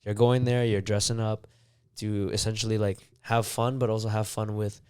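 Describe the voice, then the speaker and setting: Smooth low-voiced young man, casual podcast chat. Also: normal speed, no echo, studio quality. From Canada. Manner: relaxed, loose, heavy on fillers and swearing. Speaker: podcast co-host.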